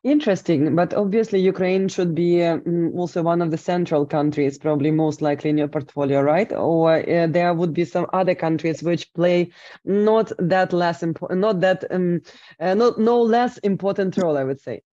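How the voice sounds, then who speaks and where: A woman's voice: lowish voice, moderate pace, dark tone, no room sound, highs cut off. A woman hosting an interview, asking long, winding questions full of qualifications. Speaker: interview host.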